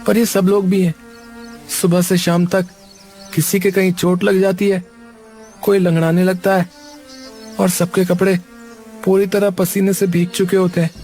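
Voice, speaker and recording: A man's voice: sits high, flat delivery, a little slow, pauses often, warm tone, soft background music. A man voicing a humble, polite young laborer in a cartoon. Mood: pleading. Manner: polite, pleading. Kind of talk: cartoon voice acting.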